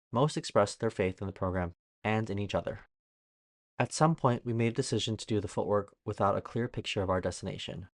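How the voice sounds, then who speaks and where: Man, lower-pitched voice, scripted reflective reading, very dry sound without room echo. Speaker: guest reader on a recovery podcast.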